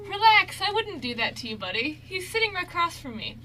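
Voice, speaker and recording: A woman's voice: very high voice, big pitch swings, a little fast, pushed and bright, heavily acted. A woman playing a bubbly, teasing, sweet young best friend in an amateur radio play, gushing playfully. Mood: bubbly, reassuring.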